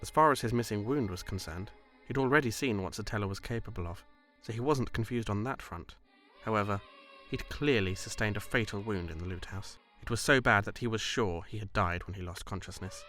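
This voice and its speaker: A man reading scripted story narration in a measured, literary delivery. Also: on the low side, sharp tone, faint music behind.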